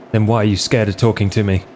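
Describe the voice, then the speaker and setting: A man's voice: fairly low pitch, a little hiss or hum. A man playing in a tabletop roleplay podcast, improvising casually in an offhand, understated way.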